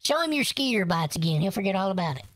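A woman voicing a crude, loud old grandma who hurls vulgar insults on a prank call. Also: medium pitch, sing-song, quick pace, dry recording. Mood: bawdy. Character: crude foul-mouthed brash old grandma, quick to anger. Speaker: prank caller baiting a scammer.

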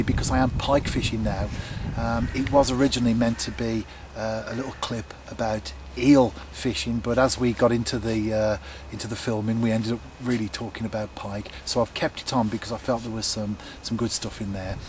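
A middle-aged man records an outdoor fishing vlog by a lake, with clear wind noise around his voice. He sits at a middling pitch and speaks in a measured, casual, explanatory way straight to the camera. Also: fairly even intonation, a little mellow, low-quality recording. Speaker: angling vlogger. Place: outdoors at a lakeside.

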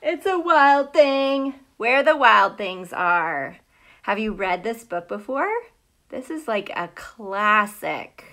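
Bright-voiced American woman chatting, unhurried. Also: medium pitch. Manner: chatty and animated, greeting viewers by name, asking questions. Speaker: elementary school teacher hosting a live read-aloud. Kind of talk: casual livestream chat with children. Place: small room at home, indoors. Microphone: phone or tablet at arm's length.

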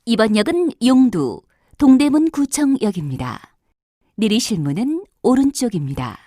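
Woman doing subway announcements, lively sing-song pitch, mellow tone, dry sound. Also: no room sound. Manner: polite, measured and formal. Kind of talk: recorded transit announcement.

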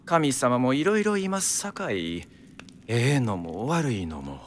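An actor playing a polite, composed superior with a Kyoto accent, measured and courteous, in a radio drama. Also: male voice, mid-range voice, very animated, a little hiss or hum. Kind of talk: Japanese radio drama acting.

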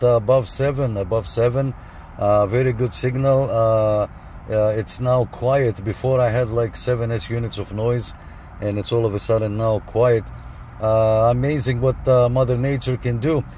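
A man operates a CB radio from a parked car, talking informally and repeating his radio jargon. His pitch is average, and faint vehicle noise sits behind him. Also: dark tone. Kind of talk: informal radio contact chat. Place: inside a parked car in a parking lot. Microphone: camera beside the dashboard radio.

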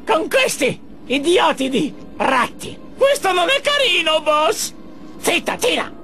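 Petty cartoon henchman, very high voice, wildly swooping, frantic, self-important. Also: very animated, takes it slowly, bright, forceful tone, soft background music, heavily acted. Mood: panicky, whiny. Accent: Italian. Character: boastful, childish half-robot villain minion, petty and whiny. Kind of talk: animated game cutscene acting.

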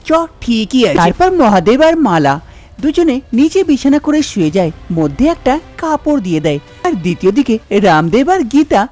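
A woman narrating a cartoon story, dropping her voice lower to play the men. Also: sing-song, normal speed, warm tone. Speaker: narrator voicing all male characters. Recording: music bed under the voice.

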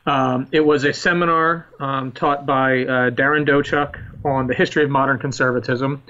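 A man's voice: mid-range voice, steady tone, moderate pace, sharp tone, muffled recording. A man in his thirties from Philadelphia, a historian being interviewed on a podcast, talking earnestly with emphatic repetition. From Philadelphia, United States. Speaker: historian guest.

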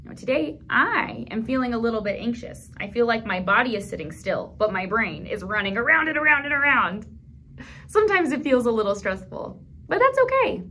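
A woman in her thirties leading a toddler storytime, speaking gently in simple phrases for small children as she reads aloud and comments. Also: fairly high pitch, sing-song, a little fast, a little hiss or hum. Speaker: children's librarian, storytime host. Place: small indoor room at a library.

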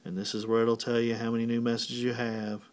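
Male tutorial presenter, medium pitch, plain and methodical, walking through instructions one step at a time. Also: completely flat delivery, fairly quick. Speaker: technician giving a tutorial.

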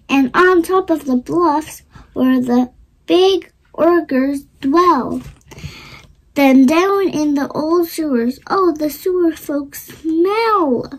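A child reading a rhyming children's storybook aloud expressively, in deliberate short phrases. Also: high-pitched, poor audio. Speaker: storybook reader.

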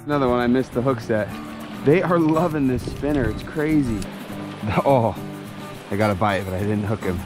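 A young man hosting a fishing vlog, chatting excitedly as he fishes a snowy mountain creek on an action camera. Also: medium pitch, music in the background. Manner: chatty, excitable running commentary. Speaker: fishing channel host. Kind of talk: casual vlog narration while fishing. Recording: clear outdoor stream noise behind. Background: rushing stream water.

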